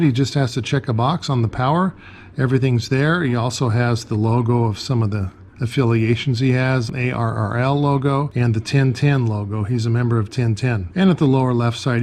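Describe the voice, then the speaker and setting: A man's voice: medium pitch, a little mellow. A man with a mid-range voice talks through items as a hobbyist presenter. His delivery is an explanatory show-and-tell narration. The recording carries some faint background noise. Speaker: ham radio hobbyist presenter.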